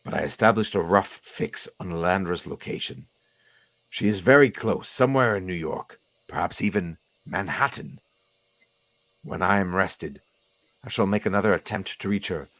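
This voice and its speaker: A man voicing a weary, dignified telepath professor from a comic book, speaking in formal, measured sentences. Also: low-pitched, a bit bright, no echo. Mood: grave, formal. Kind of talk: comic book read aloud in character.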